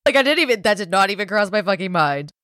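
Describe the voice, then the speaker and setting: Tired woman podcaster, swears casually, laughs a lot, lively low voice, dry sound. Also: fast talker, no echo. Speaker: podcast co-host. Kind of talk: casual chat.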